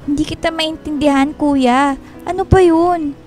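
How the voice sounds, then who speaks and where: A woman's voice: high voice, fairly even intonation, measured pace, a little mellow. A woman playing a timid, hurt young girl with a Filipino accent in a radio drama, hesitant and pleading. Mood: hurt, questioning. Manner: hesitant, meek and polite, pleading. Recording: music bed behind the voice.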